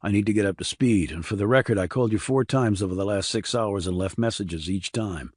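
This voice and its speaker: A man's voice: lowish voice, fairly quick, darkish tone, husky, no echo, studio quality. A man playing a terse, wry, formal Army investigator with clipped military delivery in an audiobook. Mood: dry, firm. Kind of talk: audiobook character acting.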